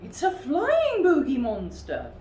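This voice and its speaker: A woman voicing an excitable brown bear with a big wet nose in a children's storybook, exclaiming loudly. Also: high-pitched, takes it slowly, echoey room, recorded from a distance, slight background noise, voice pushed up, exaggerated voice. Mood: alarmed. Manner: loud, exclaiming.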